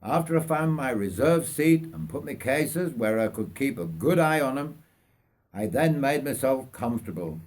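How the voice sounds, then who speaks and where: An older man from North Yorkshire reads his own memoir aloud in a measured, reflective storytelling manner. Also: mid-range voice, animated, darkish tone. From North Yorkshire, England. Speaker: writer reading own memoir. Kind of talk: scripted autobiographical storytelling.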